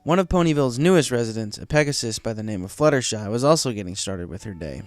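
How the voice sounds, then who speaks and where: A man's voice: mid-range voice, lively intonation, fast talker, dry recording, soft background music. A man reading scripted story narration as the narrator of a fan tale.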